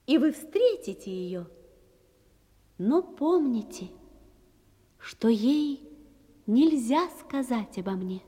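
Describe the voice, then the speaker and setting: An actress playing a gentle, mysterious young phantom woman in an audio drama, speaking solemnly in measured, poetic phrases. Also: a little high, very animated, husky, exaggerated voice. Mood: solemn, reassuring. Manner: solemn, measured, with drawn-out pauses. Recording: long cavernous reverb under music.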